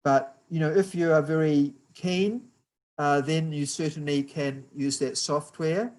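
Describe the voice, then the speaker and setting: A man with a New Zealand accent giving a guest lecture on building structures, explaining slowly and patiently over a video call. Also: on the high side, fairly even intonation, a little mellow. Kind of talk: university lecture, slow and deliberate.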